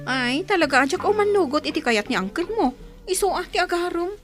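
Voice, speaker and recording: A woman's voice: extremely high pitch, lively intonation, brisk, over music. A woman with a Filipino accent playing an exasperated, scolding middle-aged aunt in a radio drama, complaining emphatically. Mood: resigned, scolding.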